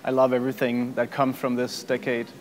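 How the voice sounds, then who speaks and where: A Danish man in his thirties, a violinist, answering interview questions in a relaxed, good-humoured way. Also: mid-range voice, brisk. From Denmark. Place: museum gallery.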